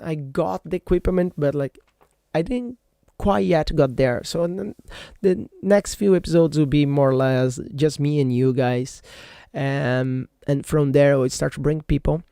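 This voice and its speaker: A man's voice: a little high, unhurried, creaky, mellow, smooth, no echo, clean studio sound. A man whose first language is Portuguese hosts a solo podcast in a rambling, casual way, full of fillers and self-corrections. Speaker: podcast host. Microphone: computer microphone.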